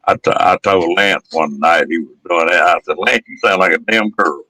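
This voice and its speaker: A man with a deep, damaged, gravelly voice, chatting casually in a folksy, rural storytelling way. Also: moderate pace, pushed and bright, no room sound. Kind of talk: casual chat.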